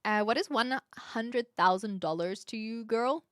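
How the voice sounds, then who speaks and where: A woman from New Zealand with a posh Kiwi accent, chatting casually as a livestream host in an expressive, giggly way. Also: a little high, a little slow, no echo, clean studio sound. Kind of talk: casual livestream chat, answering viewer comments.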